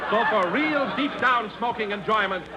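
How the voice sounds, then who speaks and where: A man's voice: sits high, expressive, normal speed. A man announcing a commercial in an emphatic, salesmanlike 1940s radio style. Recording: noisy vintage 1940s radio recording.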